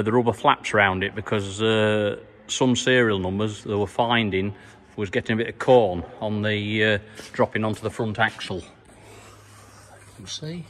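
A middle-aged man farmer giving a casual video diary, talking in a plain, unhurried way with many fillers, filmed on a phone held at arm's length. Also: lowish voice, fairly bright tone, in a large hall. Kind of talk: casual farm vlog. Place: farm sheds and yard, indoors and outdoors.

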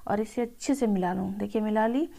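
A woman's voice: mid-range voice, a little fast, gravelly, warm tone. A woman home cook talking viewers informally through a recipe, addressing them as friends. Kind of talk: informal cooking tutorial voice-over.

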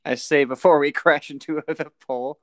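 Guy w a clear kinda high voice, casually reading a story out loud and bantering, dry sound. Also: fairly even intonation, moderate pace, dry recording. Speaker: co-host reading a book aloud. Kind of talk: casual read-aloud with banter.

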